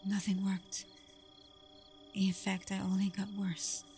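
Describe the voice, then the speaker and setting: A woman playing a tender, protective vampire girlfriend in an intimate ASMR roleplay, speaking softly and breathily with a hesitant, reassuring manner. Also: mid-range voice, monotone, slow, soft background music, lightly acted. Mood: wistful, reflective. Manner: soft, breathy, hesitant, reassuring, often dropping toward a whisper. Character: tender, protective young female vampire girlfriend, secretive and self-doubting.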